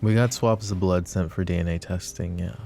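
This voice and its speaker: A very low, gravelly voice for a brooding mafia boss, acted by a man. He is possessive and sardonic yet protective, speaking intimately and darkly as in an ASMR audio roleplay, with faint music underneath. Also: steady tone, brisk, mellow. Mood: tense, brooding. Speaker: voice actor. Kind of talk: boyfriend ASMR roleplay acting.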